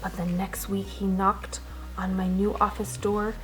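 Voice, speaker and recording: A woman reading a rhymed children's storybook aloud in an expressive, rhythmic way with dramatic emphasis. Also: mid-range voice, a little slow, low-quality recording. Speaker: children's storybook reader, teacher.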